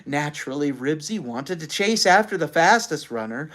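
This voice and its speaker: A storyteller reading a children's book aloud in an animated, expressive way, acting out the characters. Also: mid-range voice, normal speed. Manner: animated, acts out characters' lines.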